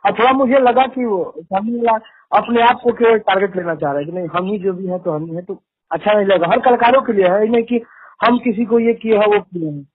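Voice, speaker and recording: Male singer interviewee, Bhojpuri accent, high-pitched voice, defensive and repetitive, on a tinny phone call. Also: brisk, slightly rough, no room sound. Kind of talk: phone interview, informal conversational answers. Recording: tinny, muffled phone line.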